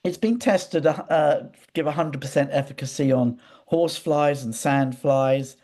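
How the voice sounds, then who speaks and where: A man's voice: a little high, a little slow, darkish tone. A man speaking as an interview guest, telling anecdotes in a hesitant, digressive way with repeated words. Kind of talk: conversational interview, rambling anecdotes.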